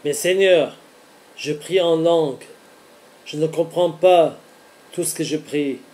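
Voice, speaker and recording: Slow, mellow-voiced male preacher. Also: a little high. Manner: earnest, deliberate, phrase by phrase. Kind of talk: devotional teaching with scripture reading.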